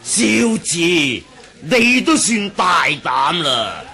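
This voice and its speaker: An actor with a Hong Kong Cantonese accent playing a hot-tempered, snarling Taoist priest in a martial arts radio drama. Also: male voice, a little high, very animated, very slow, bright, forceful tone, full character voice. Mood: furious. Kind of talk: 1980s radio drama acting.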